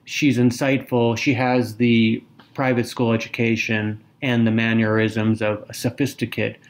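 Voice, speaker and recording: A man's voice: mid-range voice, level tone, unhurried. Articulate man analyzing a novel. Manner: articulate, analytical, with occasional hesitations. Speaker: podcast co-host. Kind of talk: casual book discussion.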